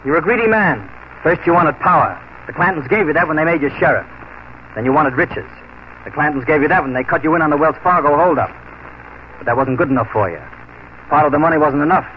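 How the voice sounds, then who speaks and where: An actor playing a grim, vengeful frontier marshal with a firm, clipped manner in a 1940s radio play. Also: male voice, a little high, quick pace, a little hiss or hum, lightly acted. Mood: accusing. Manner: firm, clipped, cold resolve. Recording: muffled old radio recording.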